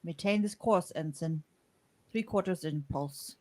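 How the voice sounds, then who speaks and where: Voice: mid-range voice, measured pace, a little mellow, natural voice. A performer playing a terse starship captain in a fan radio play, formal and authoritative. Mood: commanding.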